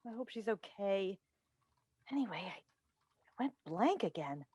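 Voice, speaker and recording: Woman acting an animated, teasing radio-host mother. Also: medium pitch, measured pace, husky, no room sound, dull audio. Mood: flustered, apologetic. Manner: effusive, coaxing, emphatic, theatrical delivery. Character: chatty, teasing radio call-in host and doting, meddling mother. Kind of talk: staged play reading. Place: indoors on a video call. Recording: recorded over video call.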